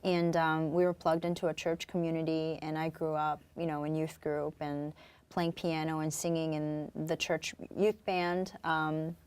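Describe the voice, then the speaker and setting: A young woman born in Korea and raised on Long Island, a singer-songwriter talking reflectively as an interview guest, with many pauses and fillers. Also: low-pitched, flat delivery, darkish tone, smooth. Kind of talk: casual interview talk.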